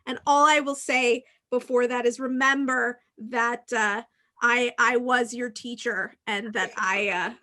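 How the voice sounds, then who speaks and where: A woman's voice: on the high side, steady tone, unhurried, sharp tone. A middle-aged woman hosting a casual chat over a video call in an effusive, encouraging manner. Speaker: host, speech teacher.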